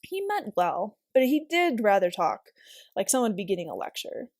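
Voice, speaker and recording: Lady reading a kids book aloud, really expressive, up and down pitch, no room echo. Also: normal speed, studio quality. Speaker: volunteer storybook reader. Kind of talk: expressive children's audiobook narration.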